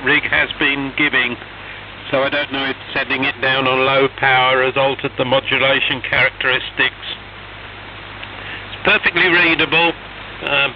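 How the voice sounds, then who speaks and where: Radio ham over noisy shortwave. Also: male voice, medium pitch, lo-fi sound. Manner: hesitant, halting technical explanations. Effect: shortwave radio transmission. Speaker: amateur radio operator. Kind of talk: casual radio net chat. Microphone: radio transceiver microphone heard over HF radio. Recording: noisy, band-limited shortwave radio reception.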